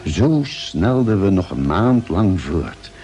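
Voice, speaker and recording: An actor playing a calm, genial ship's doctor who keeps a diary, articulate and measured, in a radio drama. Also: male voice, sits low, soft background music, heavily acted. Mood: measured, wondering. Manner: measured, articulate, expressive storytelling. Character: ship's doctor and diarist, calm, genial male space traveller. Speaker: narrator and actor. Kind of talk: Dutch radio drama narration and dialogue.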